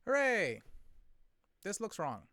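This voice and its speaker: A man thinking aloud as he codes on a live stream, rambling and correcting himself, his voice a bit hoarse from talking for a long time. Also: high-pitched, wildly swooping pitch, takes it slowly, bright, forceful tone, no room sound, clean studio sound. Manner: rambling, self-correcting, chatty with viewers.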